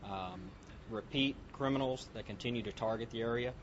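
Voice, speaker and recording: A man speaking haltingly in an interview soundbite, as a police spokesman would. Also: mid-range voice. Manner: halting, hesitant. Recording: dull sound with clear vehicle noise.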